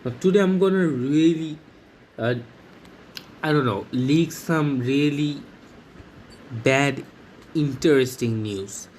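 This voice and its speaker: Young man, eager stumbling delivery, fairly high voice, faint noise behind. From Bangladesh. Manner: excited, halting, with many restarts and fillers. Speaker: vlogger. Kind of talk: casual talk to camera.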